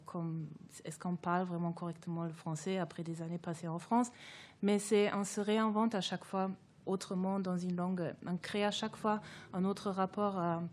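A woman's voice: mid-range voice, a little mellow. A woman whose first language is German, a guest author speaking reflectively in an academic discussion, thoughtful and hesitant with many fillers and restarts.

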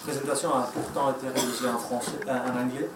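A man's voice: medium pitch, little pitch movement, fast talker, heavy background noise. A man with a Spanish accent and Spanish as his first language, giving a hesitant conference presentation with many fillers and restarts. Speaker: conference speaker. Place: conference room. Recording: echoey room, mic from far off.

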